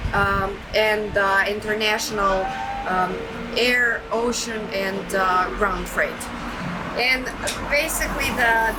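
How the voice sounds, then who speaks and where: A woman's voice: fairly high pitch, low-quality recording. A young woman from Serbia, a guest in an interview, telling her story in a chatty, self-deprecating way with many fillers and restarts. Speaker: guest, trucking entrepreneur. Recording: loud background noise.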